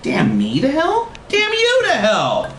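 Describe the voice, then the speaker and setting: A furious, bratty teenage girl from a storybook , as a male reader puts her on, pitched high and swearing freely. The voice swings up and down dramatically in an echoey room. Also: sing-song, moderate pace, a bit bright. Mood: furious, taunting. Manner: bratty, profane, exclamatory. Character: angry, sulky, foul-mouthed teenage girl. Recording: roomy echo, faint noise.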